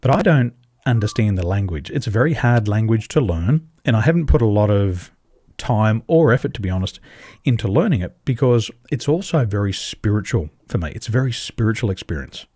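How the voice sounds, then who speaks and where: An Australian man, a podcast guest, speaking on a video call and telling animated stories, acting out dialogue with big leaps in pitch and emphatic repetition. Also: fairly quick, no room sound, clean studio sound. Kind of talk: animated conversational storytelling. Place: home in Taiwan, over a video call.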